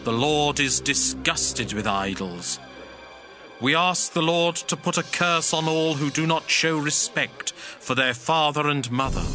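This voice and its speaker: A man playing a stern cleric who solemnly pronounces curses in formal, deliberate cadence, in a dramatized scripture recitation. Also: on the high side. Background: music.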